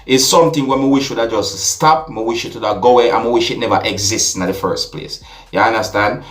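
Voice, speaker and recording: A man's voice: mid-range voice, a bit bright. Jamaican man commentating in an echoey room. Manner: deliberate, repeatedly addresses listeners with rhetorical asides. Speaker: vlog host and commentator. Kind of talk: opinion commentary addressed to viewers. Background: music.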